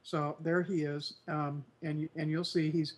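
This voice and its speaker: A co-host of a casual online news chat show, slightly nasal and croaky from a cold, rambling with many fillers. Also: male voice, a little high, flat delivery, a little slow. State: congested, recovering from flu.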